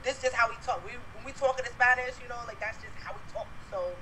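A young woman from Brooklyn with a New York accent, chatting forcefully as a guest on a phone video call from her bedroom. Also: fairly high pitch, fast, poor audio. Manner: forceful, talks at people. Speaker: interview guest. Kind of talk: casual video-call chat. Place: bedroom at home, indoors.

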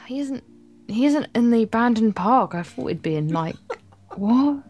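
A woman's voice: on the high side, expressive, measured pace, a little mellow. A woman reading visual novel lines aloud in a casual let's play, with sarcastic, irreverent asides. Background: game music.

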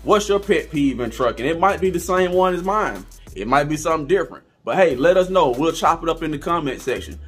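A man's voice: on the high side, animated, a little fast, few pauses, slightly rough, soft background music. A man in his thirties, a vlogger and truck driver, giving an emphatic, preachy direct-to-camera rant in a small room. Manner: emphatic, preachy, repeats phrases for effect. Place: small indoor room with kitchen cabinets.

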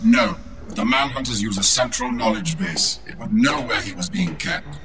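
A man voicing a proud, stern alien warrior mentor in a video game, haughty, formal and deliberate. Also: medium pitch, very animated, a crowd in the background. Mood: measured. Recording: loud music underneath.

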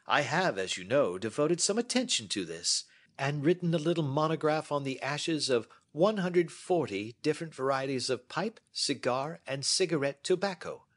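A man's voice: fairly high pitch, lively intonation, moderate pace, fairly bright tone, voice pushed up. A man reading as a brilliant, self-assured Victorian detective who explains his deductions precisely. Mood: reasoning.

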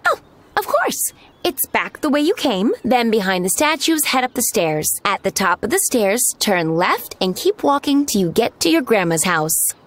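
An actress playing a bubbly, welcoming diner owner in a video game, effusive and sociable. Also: female voice, fairly high pitch, sing-song, normal speed.

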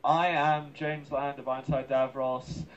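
Slow-talking man, dull lo-fi audio. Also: medium pitch, flat delivery. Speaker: guest, streamer. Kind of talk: casual announcement chat.

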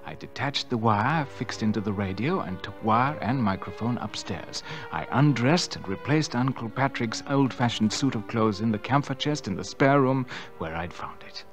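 A man's voice: mid-range voice, lively intonation, normal speed, few pauses, natural voice. Male actor as smug murderous nephew, scheming, mid-range voice, theatrical confessional radio drama, faint music behind. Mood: sinister, gloating. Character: scheming, smug young nephew who murdered his aunt. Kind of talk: radio drama acting with first-person narration.

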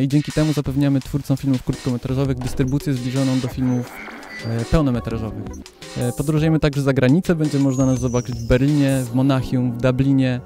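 A man's voice: medium pitch, mellow. A man, a festival organiser, giving an informative promotional talk.

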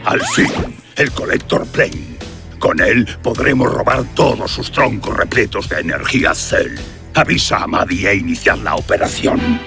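A man voicing a scheming villain creature boss in a cartoon, with a gravelly voice. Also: lowish voice, expressive, measured pace. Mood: gloating. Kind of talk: cartoon dub acting. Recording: music behind the voice.

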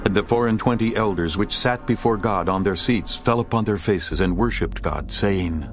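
A man narrating a dramatized scripture reading in a steady, measured way. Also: lowish voice. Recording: narration over background music.

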